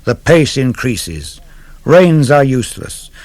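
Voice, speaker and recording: A man giving a solemn, measured, declamatory reading of classical poetry for radio. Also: medium pitch, sing-song, a little mellow, no room sound. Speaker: poetry reader. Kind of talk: dramatic literary reading for radio.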